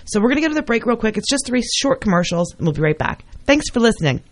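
A woman's voice: a little high, sing-song, fast. A woman hosting a radio talk show, asking questions with eager exclamations. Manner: enthusiastic, exclamatory. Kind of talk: casual radio interview.

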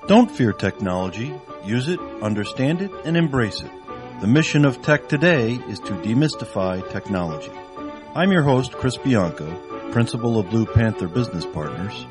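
Man, show host, upbeat promo read, loud music bed. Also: medium pitch, sing-song, normal speed. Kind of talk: scripted radio promo.

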